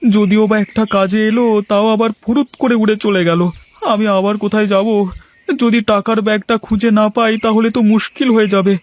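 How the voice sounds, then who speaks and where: A man's voice: high-pitched, level tone, normal speed, dry recording, lightly acted. A man playing an anxious, luckless village man in a cartoon, speaking in a plaintive, lamenting way. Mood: despairing. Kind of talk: Bengali cartoon dialogue dub.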